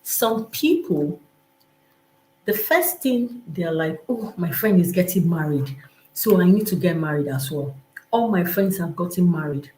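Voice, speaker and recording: A woman with a Nigerian accent and a slightly low voice teaches in an informal, motivational way. She is emphatic and repeats key phrases, slipping into imagined remarks conversationally. The sound is noticeably roomy and echoing, as on a live stream. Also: warm tone.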